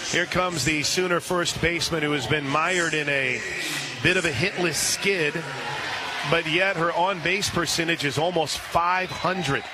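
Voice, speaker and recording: An American man doing live radio play-by-play of softball, chatty and folksy between pitches. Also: a little slow. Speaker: play-by-play announcer. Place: outdoor softball stadium. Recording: noisy outdoor ballpark broadcast. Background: loud ambient ballpark noise.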